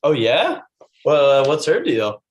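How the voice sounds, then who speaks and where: Blunt, aggrieved male friend in his twenties played by an actor, highish pitch, animated, comedy read. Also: a little slow, dull audio. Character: blunt, aggrieved twenty-six-year-old buddy. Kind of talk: comedy script table read.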